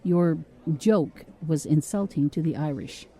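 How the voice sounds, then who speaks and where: Officious, dark-voiced police officer. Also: male voice, a little high, measured pace. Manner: formal, menacingly polite, bureaucratic. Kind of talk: audio drama acting.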